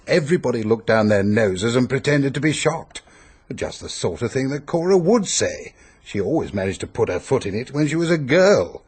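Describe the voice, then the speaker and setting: An actor in a radio drama playing a pompous, peevish, self-pitying upper-class English hypochondriac who blusters and complains. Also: male voice, mid-range voice, sing-song, moderate pace, a little mellow, husky. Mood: peevish, dismissive.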